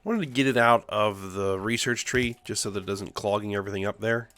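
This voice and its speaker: A man's voice: fairly low pitch, brisk. Stuffy-nosed man narrating his gameplay. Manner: relaxed, thinking aloud while building. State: stuffy nose from a cold. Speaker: let's play host. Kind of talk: casual gameplay commentary.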